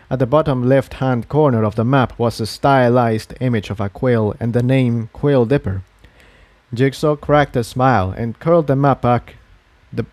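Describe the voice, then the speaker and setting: A man's voice: medium pitch, darkish tone, no room sound. A man reading scripted fiction aloud as its narrator.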